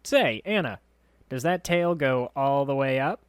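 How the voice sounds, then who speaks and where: A man's voice: mid-range voice, expressive, a little slow, clear, resonant tone, no echo, studio quality, voice pushed up, close to an everyday voice. A man doing a fawning bouncy little sidekick. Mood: flirty. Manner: flirty, then meek.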